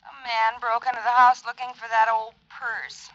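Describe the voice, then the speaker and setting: A frightened, clingy young woman, voiced by an actress, pleading in a fairly high voice. The recording is dry and lo-fi, like an old radio broadcast. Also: flat delivery, moderate pace, a bit bright, no room sound, lightly acted. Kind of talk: 1940s radio acting.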